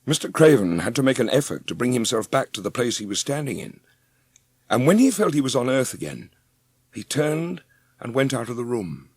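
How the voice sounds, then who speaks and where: A man giving an expressive scripted narration of a storybook. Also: medium pitch, husky, dry recording.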